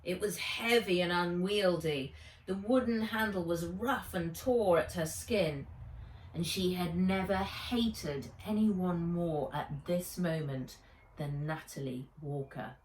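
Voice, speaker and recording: A woman narrates a children's audiobook at a very slow, deliberate pace. Her pitch sits a little low, and she reads with expression. The recording is very echoey, as if she is some way from the microphone. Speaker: author reading own book aloud. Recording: roomy echo, distant microphone.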